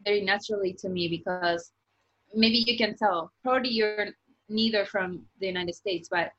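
A Japanese woman whose first language is Japanese, speaking English with a Japanese accent as a guest author, chatty and lively and full of "like" fillers. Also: medium pitch, normal speed, dull audio. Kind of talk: casual interview talk.

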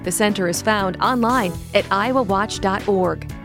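Female announcer, polished formal read, music clearly underneath. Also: mid-range voice, moderate pace. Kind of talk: scripted station announcement.

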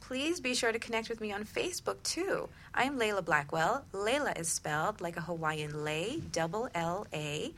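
Casting: middle-aged female radio host, very bright, forward voice, warm talk-show delivery, faint rumble behind. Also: animated, moderate pace, few pauses.

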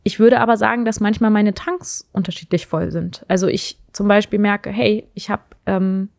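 A woman's voice: mid-range voice, steady tone, moderate pace, creaky, darkish tone, no echo, clean studio sound. A young woman casually talking through her views on relationships, thinking aloud with frequent hesitations and restarts. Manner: thoughtful, hesitant, many fillers and restarts. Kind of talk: casual reflective monologue.

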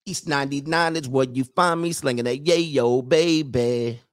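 A man in a loose, talky monologue, his pitch at an ordinary middle height. He keeps jumping into teasing impressions of other people, then breaks off laughing at his own jokes. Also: takes it slowly. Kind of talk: casual commentary monologue.

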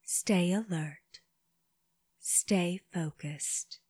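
A woman leading a guided meditation for children, speaking gently in short phrases with long pauses, her breathy voice often dropping toward a whisper. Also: fairly low pitch, no room sound, clean studio sound. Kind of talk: slow guided body scan meditation.

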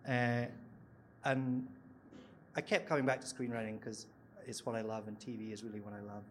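A man's voice: mid-range voice, level tone, moderate pace, a little hiss or hum. Scottish man telling his story on stage. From Scotland. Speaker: panel guest, screenwriter. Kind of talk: live panel discussion. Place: event stage with audience.

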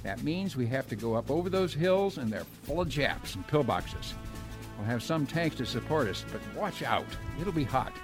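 A man's voice: mid-range voice, big pitch swings, normal speed, over music, close to an everyday voice. A man playing a commanding American squad leader giving battle orders in a World War Two radio drama. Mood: urgent, commanding.

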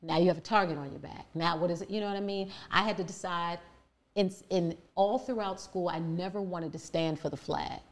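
Deep-voiced woman telling her story emphatically. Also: fairly quick. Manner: emphatic, animated, with frequent fillers and restarts. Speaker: guest. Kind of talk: candid personal storytelling.

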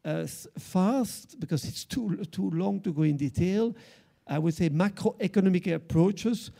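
Gravelly Flemish-accented man, halting speech. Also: high-pitched, warm tone. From Belgium. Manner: halting, many fillers and restarts. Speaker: arts center director. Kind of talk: panel discussion remarks.

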